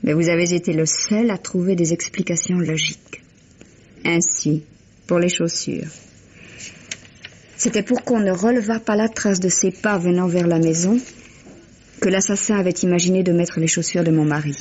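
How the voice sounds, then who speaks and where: An actress playing a refined, emotional widow in a vintage French radio mystery, with genteel, expressive theatrical delivery. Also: female voice, on the low side, moderate pace, slightly rough. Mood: admiring. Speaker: actor. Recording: muffled old radio drama recording.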